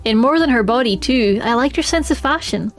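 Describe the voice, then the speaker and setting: A woman's voice: a little high, lively intonation, fairly quick. A woman streaming a game, chatting expressively and reading the story text aloud. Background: faint music.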